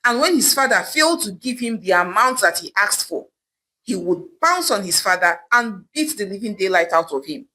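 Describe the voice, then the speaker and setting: Bright, animated woman telling a folktale. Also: mid-range voice, a little hiss or hum, poor audio. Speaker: storyteller. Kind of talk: dramatic scripted folktale narration.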